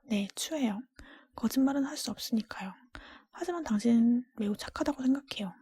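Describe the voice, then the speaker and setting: A kind, candid young fairy-tale heroine played by a woman speaks in a mellow, very breathy whisper. She is polite and tender, yet resolute. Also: fairly high pitch, normal speed, dry recording.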